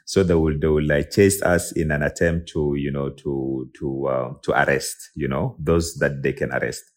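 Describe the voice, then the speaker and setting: Man, very deep voice, from South Sudan, halting, restarts a lot. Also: fairly even intonation. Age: young adult. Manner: halting, many restarts and fillers. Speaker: guest, tech and IP lawyer. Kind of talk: personal storytelling in an interview.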